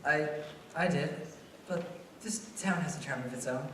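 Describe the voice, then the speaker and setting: A performer playing an earnest, good-hearted small-town man in a stage radio play, folksy and excitable. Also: medium pitch, a little slow, close to an everyday voice. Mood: kindly, concerned. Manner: folksy, animated. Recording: echoey hall, distant mic, faint noise.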